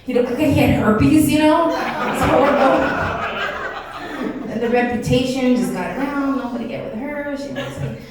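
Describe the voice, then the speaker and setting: A woman's voice: sits high, a little slow. Giggly Texas Chicana woman doing stand-up. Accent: South Texas Chicana. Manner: brash, giggly, swearing freely. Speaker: stand-up comedian. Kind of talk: stand-up comedy set. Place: comedy club stage. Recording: echoey club from far off, loud crowd.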